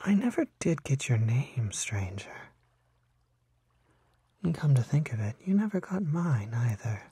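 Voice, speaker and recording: Casting: man to play a seductive kelpie for ASMR roleplay, very husky half-whisper, mellow, coaxing and sly. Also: mid-range voice, unhurried, no room sound, heavily acted. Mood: seductive, intimate. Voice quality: soft, breathy, often whispered. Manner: coaxing, flattering, falsely modest, teasing. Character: charming, unsettling male kelpie, smooth-talking seductive lurer. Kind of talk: ASMR roleplay, intimate one-sided acted dialogue. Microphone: close microphone.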